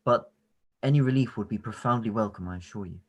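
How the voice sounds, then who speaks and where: A man playing a genial, courteous Regency gentleman officer in a radio play, affable and courtly. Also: lowish voice, quick pace, lightly acted. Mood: amiable. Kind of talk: radio play live read.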